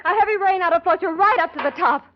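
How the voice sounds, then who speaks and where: A woman's voice: very high voice, fast. An actress playing a breezy, flippant young double-crossing woman in a 1940s radio drama. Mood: breezy, mocking. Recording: muffled vintage radio, faint noise.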